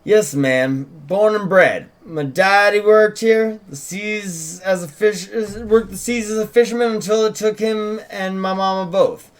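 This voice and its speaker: A man plays an eager young small-town deputy in a drama table read. The voice sits high and speaks with polite, folksy deference. The manner is talkative and earnest. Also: measured pace, few pauses. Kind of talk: script table read, in character.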